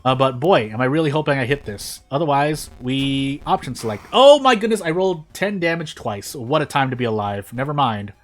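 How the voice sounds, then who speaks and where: A man's voice: medium pitch, lively intonation, a little fast, hardly any pauses. A man hosting a gaming channel, giving casual, rambling gameplay commentary with self-deprecating asides.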